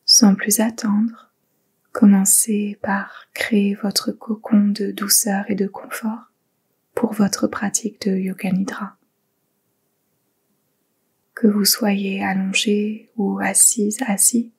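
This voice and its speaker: A woman guides a relaxation meditation in a soft, whispery voice that is full of breath. She speaks slowly and gently, leaving pauses between soothing instructions. Her intonation stays very flat and steady throughout. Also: mid-range voice, breathy edge, poor audio. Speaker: yoga nidra meditation guide.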